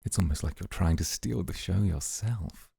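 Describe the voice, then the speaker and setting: A man playing a composed, calculating, coolly amused mafia boss, speaking in a measured, formal way in an ASMR roleplay. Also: low voice, creaky, mellow, breathy edge, dry recording, studio quality, heavily acted. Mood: dryly amused.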